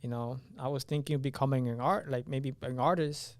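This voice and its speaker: A man's voice: mid-range voice, fairly quick, gravelly, dry recording. A young man from Honduras, a native Spanish speaker, giving casual interview answers and often searching for his words. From Honduras, moved to the US at nine. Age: young adult. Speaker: podcast guest, engineering student.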